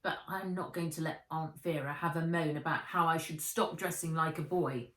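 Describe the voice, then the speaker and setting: A woman's voice: low-pitched, steady tone, normal speed, a bit bright. A woman voicing a spirited, stubborn young tomboy heroine in a children's storybook. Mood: stubborn, grumbling. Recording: roomy echo, distant from the mic.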